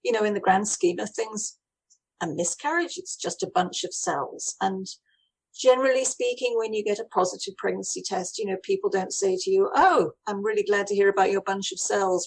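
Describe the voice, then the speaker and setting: A woman's voice: medium pitch, animated, a little fast, gravelly, darkish tone, breathy edge, rough recording. A woman talking conversationally as an interviewee, feeling her way through phrases and voicing what other people say. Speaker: charity director being interviewed.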